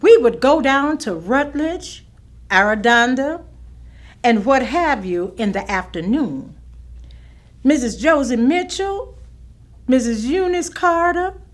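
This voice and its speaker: An actress playing a proud, firm veteran schoolteacher looking back in a staged reading. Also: female voice, high voice, expressive, slow pace, long pauses. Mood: proud, reminiscing. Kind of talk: staged monologue of oral history recollections.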